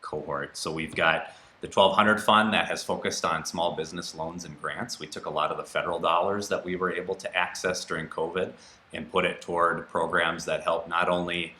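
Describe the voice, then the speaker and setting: Very deep-voiced man, bright tone. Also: fairly even intonation, moderate pace, echoey room. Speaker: city councilor and candidate. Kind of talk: candidate forum answer. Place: indoor TV studio.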